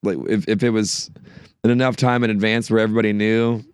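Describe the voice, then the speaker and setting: A man who is a guest musician on a podcast, speaking in a relaxed, encouraging way with casual swearing. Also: lowish voice, quick pace, clear, resonant tone, dry recording, studio quality.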